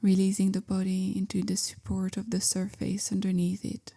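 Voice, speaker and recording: A woman leads a guided meditation with gentle, measured calm. Her voice is mellow and even in pitch, settling into a soft creak. Phrases often trail off into a breathy near-whisper. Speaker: meditation guide, yoga teacher. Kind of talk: guided meditation, slow soothing instruction.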